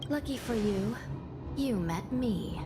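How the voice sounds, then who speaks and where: A woman playing a composed, dutiful judge in a video game, speaking in a measured, formal way. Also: a little high, animated. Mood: matter-of-fact. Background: music.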